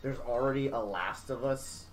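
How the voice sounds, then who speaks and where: A man co-hosting a casual podcast about games, speaking haltingly and repeating phrases as he works out his ideas. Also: medium pitch, faint music behind, poor audio. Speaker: podcast co-host. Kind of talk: casual group chat about video games.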